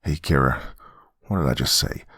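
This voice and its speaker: A man's voice: very deep voice, moderate pace, pushed and bright, slightly rough, no room sound, clean studio sound, pitched below the performer's own voice, heavily acted. A man playing a desperate, hot-tempered father who pleads and bullies, in a dramatic audio story. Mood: stern, agitated.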